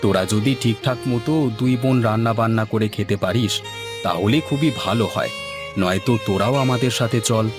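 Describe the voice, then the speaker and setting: A man's voice: fairly low pitch, normal speed, lightly acted. A man playing a caring, urgent village farmer and father, explaining things insistently in a homely cartoon style. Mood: concerned. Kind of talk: Bengali moral-story cartoon acting. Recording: prominent music behind the voice.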